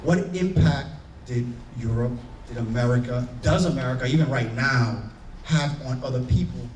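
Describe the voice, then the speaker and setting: A man's voice: medium pitch, normal speed, darkish tone, slight background noise. Earnest man with a Haitian accent. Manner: earnest, searching, many fillers and restarts. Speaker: panelist. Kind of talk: reflective personal talk on a panel. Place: conference room. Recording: echoey room, voice from far off.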